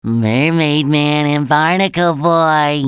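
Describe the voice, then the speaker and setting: A man voicing an excitable, naive, cheerful young cartoon sea sponge in a video game, with a squeaky nasal voice and eager, childlike earnestness. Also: slow pace, smooth, dry recording, studio quality. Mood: excited, adoring. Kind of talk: animated video game voice lines.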